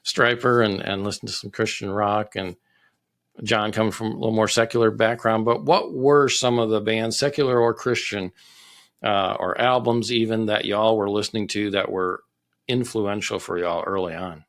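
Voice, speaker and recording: A man's voice: lowish voice, fairly even intonation, normal speed, a bit bright. A man hosting a casual interview show, greeting guests with upbeat enthusiasm. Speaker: podcast host.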